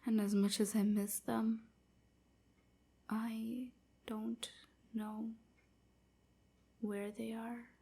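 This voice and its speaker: Woman acting a young female vampire slave, wistful, breathy, halting, mellow, fry-tinged, intimate roleplay monologue. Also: fairly high pitch, level tone, exaggerated voice. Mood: wistful, grieving. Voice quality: soft, breathy, often near whisper. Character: sorrowful young enslaved vampire woman recounting her past.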